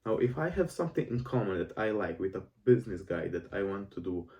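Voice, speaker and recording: A man's voice: fairly low pitch, big pitch swings, fast, warm tone, recorded from a distance. A nineteen-year-old man from Croatia with a Croatian accent, talking in an earnest, rambling way to his audience about the jobs he has worked. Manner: earnest, rambling with restarts and fillers. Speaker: self-improvement vlogger. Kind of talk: casual monologue to camera.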